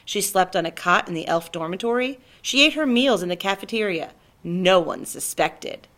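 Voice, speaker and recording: A woman narrating a children's story aloud in an expressive storytelling style. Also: lowish voice, moderate pace. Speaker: storybook reader.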